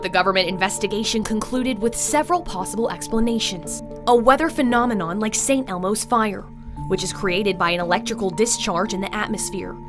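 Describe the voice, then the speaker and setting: A woman reads a scripted television-style news report as a reporter. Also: mid-range voice. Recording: music bed under the voice. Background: background music.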